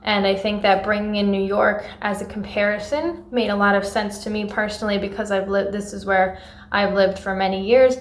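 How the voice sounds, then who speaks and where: A woman giving articulate, analytical film feedback as an audience member, in measured and formally phrased sentences. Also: medium pitch, level tone, a little hiss or hum. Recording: roomy echo.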